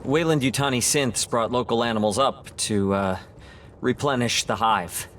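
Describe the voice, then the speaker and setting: A man playing a hesitant doctor in a sci-fi video game, speaking in a measured way. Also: medium pitch, fairly bright tone, a little hiss or hum, lightly acted. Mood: grim, explanatory.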